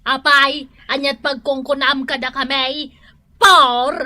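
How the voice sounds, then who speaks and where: High, bright, boastful gossip. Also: female voice, flat delivery, slow, exaggerated voice. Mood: teasing. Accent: Ilocano. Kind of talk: Ilocano comedy radio drama acting.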